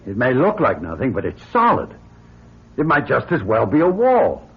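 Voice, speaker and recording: An actor playing a steady, gruff spaceship commander, terse and commanding, in a radio drama. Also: male voice, mid-range voice, normal speed, full character voice. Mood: baffled. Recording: muffled lo-fi old radio recording.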